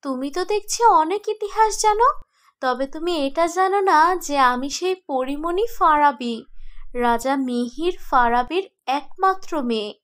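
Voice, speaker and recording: A woman playing a gentle, wistful princess ghost in a cartoon, speaking earnestly and solemnly. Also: high voice, animated, a little slow. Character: gentle ghost princess, seven hundred years dead, dutiful, wistful. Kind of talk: cartoon voice acting.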